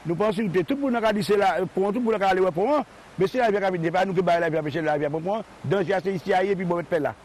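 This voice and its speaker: A man's voice: high voice, quick pace, darkish tone, a little hiss or hum. A man from a group of friends, talking in a rambling, agitated way. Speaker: resident. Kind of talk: interview.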